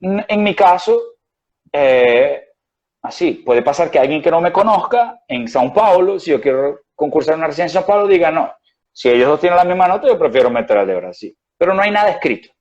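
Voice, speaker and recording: A man's voice: on the high side, animated, fairly quick, a little mellow, lo-fi sound. A man with a Venezuelan accent, a guest doctor explaining things in a rambling way with many restarts and fillers. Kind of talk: conversational interview.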